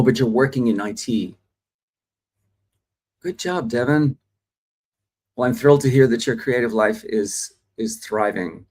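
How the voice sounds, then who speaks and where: A man's voice: on the low side, darkish tone. Chatty male livestream host from Australia. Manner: chatty, reads viewer comments, frequent fillers. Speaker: host of a live acting stream. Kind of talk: casual livestream chat. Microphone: phone or desk mic, livestream.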